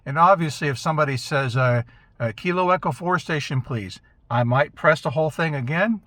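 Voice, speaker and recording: A man's voice: on the high side, a little fast, dry recording. Man chatting casually in a parked car, dry sound. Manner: relaxed, conversational, demonstrating as talking. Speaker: vlogger, amateur radio operator. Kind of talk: casual explanatory chat to camera. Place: inside a parked car outdoors. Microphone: small camera mic in the car.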